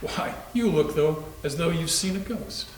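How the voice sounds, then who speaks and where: A man's voice: fairly high pitch, a little slow, natural voice. A man playing a pleasant, casual fellow in a haunted-house readers theater piece. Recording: echoey, distant mic, faint noise.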